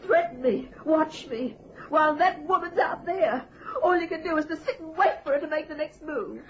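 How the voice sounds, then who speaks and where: A woman's voice: sits high, moderate pace, natural voice. An actress playing an agitated, suspicious old woman who pleads and demands in an old radio thriller. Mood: menacing, agitated. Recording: noisy, dull old radio recording.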